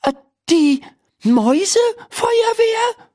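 A woman voicing a young girl in a children's story, high and youthful, exclamatory and emphatic. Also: sing-song, slow pace, full character voice. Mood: alarmed, flustered. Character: Laura, a young girl, alarmed then bossy in her dream.

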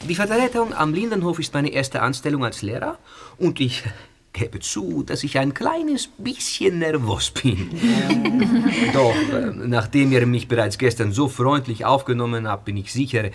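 An actor playing a friendly, slightly nervous young history teacher from northern Italy, speaking. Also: male voice, medium pitch, very animated, measured pace, slightly rough, heavily acted. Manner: polite, warm, explaining. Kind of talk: audio drama acting.

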